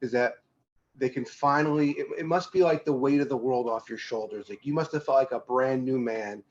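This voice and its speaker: A man's voice: medium pitch, brisk. A man hosting a conversational interview, speaking as the interviewer. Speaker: interviewer, host. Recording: muffled lo-fi audio with background noise.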